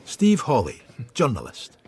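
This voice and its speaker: An actor playing a world-weary middle-aged detective, narrating his thoughts in terse phrases in a husky voice. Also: medium pitch, huge pitch swings, measured pace, darkish tone. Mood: brooding. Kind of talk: inner-monologue narration in radio drama.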